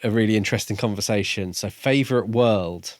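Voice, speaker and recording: Lower-pitched man, measured podcast talk. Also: no echo. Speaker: podcast co-host. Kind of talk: casual book discussion.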